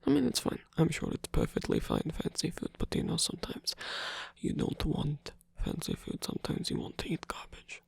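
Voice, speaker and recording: A man playing a flirtatious, theatrical rock singer in an intimate ASMR romance roleplay, teasing and murmuring with breathy, husky, hesitant speech. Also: a little high, huge pitch swings, moderate pace, no echo, exaggerated voice. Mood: playfully stubborn. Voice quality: breathy, husky, often whispered. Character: flirtatious theatrical male rock singer, tired, playfully indulgent lover.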